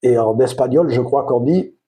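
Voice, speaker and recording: A man's voice: mid-range voice, normal speed, warm tone. A man in his fifties with a Provençal accent, hosting his own vlog in a reflective, rambling way with frequent hesitations. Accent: Provençal French. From southern France. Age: 50s. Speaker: channel host. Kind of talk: casual vlog monologue.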